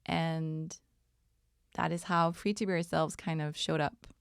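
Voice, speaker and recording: A woman in her thirties with a French Canadian accent talks through a solo podcast episode in a low, unhurried voice. Her tone is very smooth and clear, and the recording is dry and close with no room sound. She muses aloud with plenty of ums. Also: steady tone, dry recording. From Montreal, Canada. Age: 30s. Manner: unhurried, musing, with many ums and soft laughs. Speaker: podcast host. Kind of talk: reflective solo podcast monologue.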